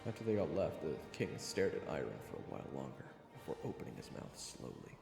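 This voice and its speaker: A man's voice: extremely low pitch, big pitch swings, fast talker, gravelly. A man narrating a scripted first-person fantasy story dramatically, with wry asides. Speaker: narrator of an audio roleplay. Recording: reverberant hall effect, loud music bed.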